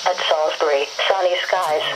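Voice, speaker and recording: A woman's voice: lowish voice, steady tone, normal speed. A synthetic woman's voice reading scripted weather observations as a radio announcer, formal and impersonal, in clipped phrases. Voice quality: synthetic text-to-speech voice. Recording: very noisy weather radio broadcast. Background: loud radio static.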